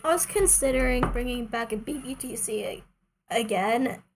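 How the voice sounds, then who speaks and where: A woman's voice: a little high, lively intonation, moderate pace, creaky, background noise. A woman livestreaming a video game, chatting casually to herself and her viewers in a playful, self-deprecating way. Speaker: streamer, video game player. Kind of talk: casual gaming livestream chat.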